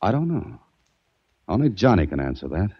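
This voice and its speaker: A hard-boiled detective, very low. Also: male voice, big pitch swings, moderate pace, slightly rough, heavily acted. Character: hard-boiled male detective, dry and determined. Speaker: private detective narrator. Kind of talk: 1940s radio acting. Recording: dull old radio recording.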